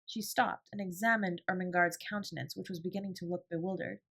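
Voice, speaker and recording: A woman's voice: lowish voice, fairly even intonation, fairly quick, vocal fry, a bit bright. A woman reading a children's novel as an audiobook narrator, in scripted storytelling style.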